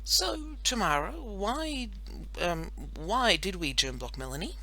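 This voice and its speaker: A woman reads as an earnest schoolboy in a storybook, a little puzzled. The voice is lifted and very bright, with a halting delivery. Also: mid-range voice, very animated, pitched above the performer's own voice, full character voice, rough recording.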